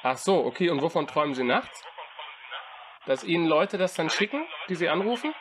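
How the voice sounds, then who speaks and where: A man on a phone call, with a calm, dry and sarcastic delivery. His fairly high voice rises and falls a lot. A faint hiss runs behind him. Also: brisk. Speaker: host of a prank call show. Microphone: phone call recording.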